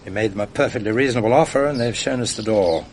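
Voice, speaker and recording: An actor playing a kindly, courteous middle-aged father with a quietly amused manner. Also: lowish voice, fast, a little hiss or hum, close to an everyday voice. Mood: genial. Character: genial, gentle middle-aged English father.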